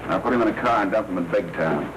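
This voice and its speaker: An American actor playing a commanding gambling-club crime boss in an old film noir. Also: male voice, sits low, quick pace, natural voice. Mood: forceful. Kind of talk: 1940s film noir acting. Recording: noisy old 1940s film soundtrack.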